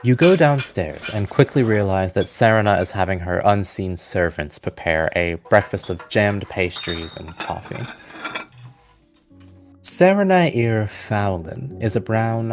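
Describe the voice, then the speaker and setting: An unhurried game master describes a fantasy scene for players. The delivery is deliberate and measured, with music playing clearly behind the voice. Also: low voice. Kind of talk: descriptive tabletop game narration.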